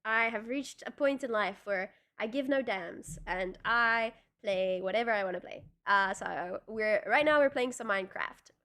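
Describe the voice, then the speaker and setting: A woman's voice: medium pitch, moderate pace, hardly any pauses, fairly bright tone. Young south african woman rambling on her stream, lots of ums and restarts, laughs a lot. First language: Afrikaans. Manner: chatty, rambling with many fillers and restarts, laughing often. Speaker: livestreamer. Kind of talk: casual livestream chat reading viewer comments.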